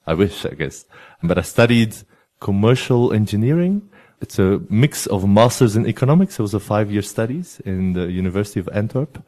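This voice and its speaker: A man from Belgium, a yoga teacher talking about his life as an interview guest in a measured, thoughtful way. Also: sits low, darkish tone. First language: Flemish. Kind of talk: relaxed interview storytelling.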